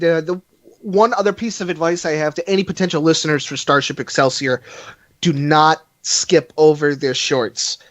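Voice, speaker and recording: A man's voice: a little high, no room sound, highs cut off. A man giving a casual podcast review over an internet call, chatty and rambling as he recommends things with enthusiasm. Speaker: podcast panelist reviewing a fan audio drama.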